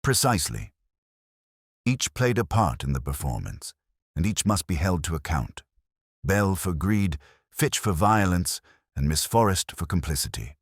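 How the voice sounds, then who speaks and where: A man reading as a very deep, imperious detective. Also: very animated, husky, dry recording, clean studio sound, full character voice. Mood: stern, deliberate. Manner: measured, formal, aphoristic pronouncements. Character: ponderous, brilliant, imperious male detective, coolly judgmental. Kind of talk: audiobook character dialogue.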